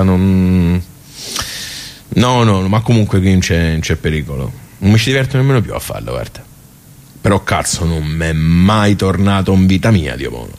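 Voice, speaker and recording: Radio play: grumbling Tuscan social-club old-timer, low voice, halting, nostalgic. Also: male voice. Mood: rambling, explaining, then exasperated. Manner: rambling, halting, muttering asides. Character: grumbling, simple, nostalgic ageing regular of a village social club. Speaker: actor in a radio theatre monologue. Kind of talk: colloquial theatrical soliloquy.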